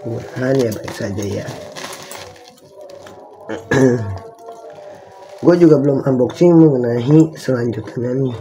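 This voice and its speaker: A hoarse young man, hosting a casual live-stream unboxing in rambling slang and clearing his throat often. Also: medium pitch, measured pace, long pauses, music in the background, rough recording. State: hoarse from a cough. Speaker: channel host.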